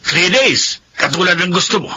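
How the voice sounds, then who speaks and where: A man's voice: high-pitched, lively intonation, normal speed, pushed and bright, slightly rough, exaggerated voice. A man playing a suspicious, callous middle-aged husband and uncle in a Filipino radio drama. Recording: noisy radio drama recording.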